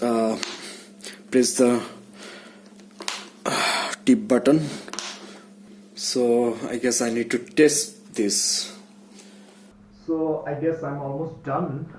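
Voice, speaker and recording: A man giving a casual hands-on tutorial, speaking haltingly and muttering to himself as he works indoors. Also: medium pitch, fairly even intonation, darkish tone. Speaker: DIY vlogger. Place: small indoor room. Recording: background noise, room ambience.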